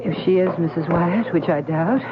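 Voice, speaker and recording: An actress in an old-time radio drama playing a sceptical but devoted wife, anxious yet spirited. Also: female voice, fairly low pitch, moderate pace, close to an everyday voice. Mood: doubtful, wary. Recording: noisy, thin phone-like old radio recording.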